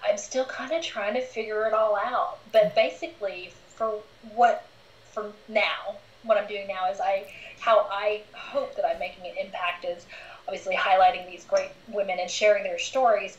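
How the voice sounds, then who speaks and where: A woman's voice: medium pitch, reverberant, muffled recording. A woman in her forties, a guest in a casual interview, talking candidly and laughing easily. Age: 40s.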